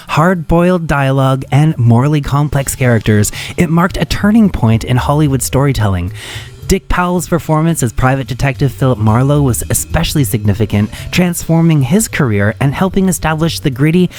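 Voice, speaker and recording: A man's voice: on the high side, darkish tone. A man hosting a radio show, reading scripted introductions with a theatrical flourish. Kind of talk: scripted narration introducing vintage radio dramas.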